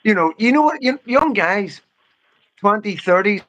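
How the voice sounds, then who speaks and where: A man's voice: high voice, lively intonation, a little slow, fairly bright tone. An English man in his fifties chatting casually on a livestream, insistent and often repeating his phrases. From England. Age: 50s. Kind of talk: casual opinionated livestream chat.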